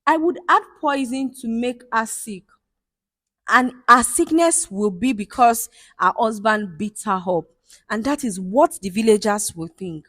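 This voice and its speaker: A woman's voice: on the high side, measured pace, fairly bright tone, no room sound. A woman with a Nigerian accent voicing a scheming, jealous village woman who gloats over her plans. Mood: plotting. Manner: gloating, plotting.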